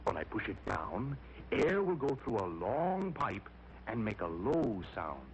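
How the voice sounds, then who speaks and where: A man's voice: fairly low pitch, big pitch swings, unhurried. A man voicing a wise, methodical musician inventor, musing and explaining in a vintage children's cartoon. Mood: thoughtful. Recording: dull, noisy vintage cartoon soundtrack.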